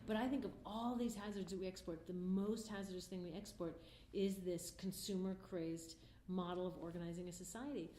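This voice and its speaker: Fast-talking, earnest middle-aged woman. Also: on the low side, fairly even intonation, mellow. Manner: earnest, passionate. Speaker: interview guest, filmmaker. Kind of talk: conversational interview answers. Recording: roomy echo, faint background noise.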